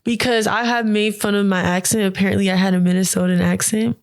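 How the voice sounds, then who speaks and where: A young woman chats as a podcast guest, slangy and laid back. She laughs easily between stories, at a middle pitch. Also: fairly even intonation, fast. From United States. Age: young adult. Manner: laughing, loose storytelling with slang. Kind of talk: casual chat.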